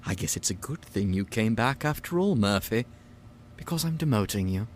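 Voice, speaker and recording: An actor playing a stern, condescending organization leader in an audio drama, with a sneering, authoritative, mock-polite manner. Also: male voice, on the low side, lively intonation, normal speed, slight background noise, close to an everyday voice. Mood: cold command.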